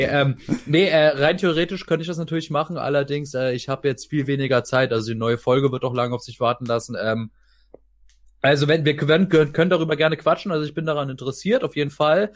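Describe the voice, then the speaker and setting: A young man chatting casually on a podcast as a cartoon creator, with a crude, slangy, joking way of talking. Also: fairly high pitch. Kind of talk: casual group banter.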